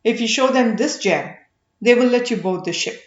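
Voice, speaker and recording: A woman's voice: fairly high pitch, normal speed, highs cut off, lightly acted. Woman reading as a charming merchant man, roomy sound. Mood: persuasive. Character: charming young male gem merchant, persuasive and dreamy.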